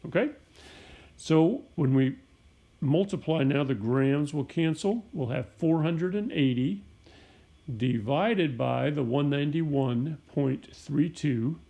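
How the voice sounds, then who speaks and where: A middle-aged man teaches a recorded chemistry lesson while sick, his voice hoarse and raspy with a gravelly fry. His tone is dark and mellow, and he explains each step plainly, often clearing his throat. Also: a little high, takes it slowly.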